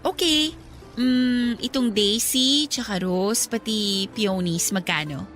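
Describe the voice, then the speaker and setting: A woman playing a brisk, well-off customer in a radio drama, casual and clipped. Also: fairly high pitch, a bit bright, soft background music. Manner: casual, switches into English.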